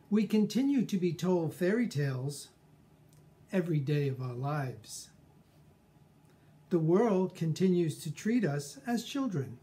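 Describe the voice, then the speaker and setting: Slow-talking older man with a mellow voice. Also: a little high. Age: 60s or older. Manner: deliberate, measured phrasing with pauses. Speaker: personal growth speaker. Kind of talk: reflective scripted monologue. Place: small furnished room at home, indoors.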